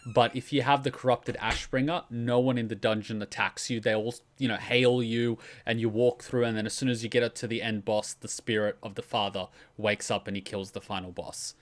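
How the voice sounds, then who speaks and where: A man's voice: mid-range voice, level tone, brisk, few pauses. A man explaining video games in casual, informal chat, with some swearing.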